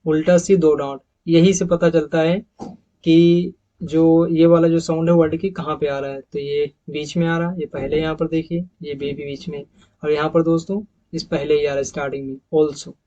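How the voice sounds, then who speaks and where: A man's voice: on the high side, flat delivery, warm tone. Indian man teaching pronunciation, dull recording. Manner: repeats model words slowly, addresses viewers as friends. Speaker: English teacher. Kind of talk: phonetics lesson over slides.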